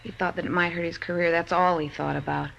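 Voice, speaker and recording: An actress playing a chatty, guileless young witness in a 1950s radio crime drama, casual and matter-of-fact. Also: female voice, on the low side, fairly even intonation, fast talker, vocal fry, muffled recording, background noise.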